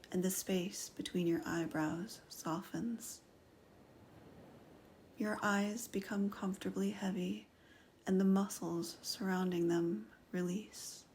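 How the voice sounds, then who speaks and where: A woman guiding a meditation in a soft voice that often slips into a whisper. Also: mid-range voice, slight background noise. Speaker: meditation guide. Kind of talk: guided meditation narration.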